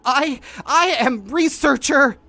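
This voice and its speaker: A man playing a shaken, earnest researcher in an audio drama, giving halting, haunted, stammering testimony. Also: very high voice, vocal fry, sharp tone, full character voice. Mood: panicked defiance. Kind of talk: dramatic first-person audio drama narration.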